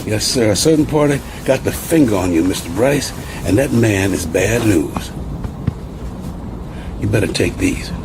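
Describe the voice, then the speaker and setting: An actor playing a deferential, wary sleeping-car attendant in a radio drama, with folksy speech. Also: male voice, animated, measured pace. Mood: wary, warning. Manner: folksy, servile, colloquial speech. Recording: noisy radio drama, train rumble behind.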